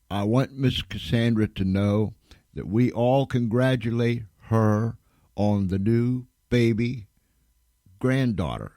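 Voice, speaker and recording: A man hosting a radio show in a casual, folksy, rambling way, giving shout-outs and plugs. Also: on the low side, no room sound.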